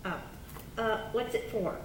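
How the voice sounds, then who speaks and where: A woman's voice: measured pace, natural voice. A woman voicing a naive, curious young puppet in a children's show, with a simple, innocent manner. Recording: echoey room, rumble, slightly noisy.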